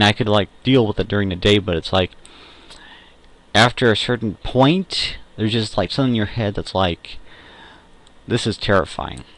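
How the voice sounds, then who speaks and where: A young adult man with a fairly low voice talks casually, as in a personal audio log. His delivery rambles and halts, with pauses as he gathers his words. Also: fairly bright tone.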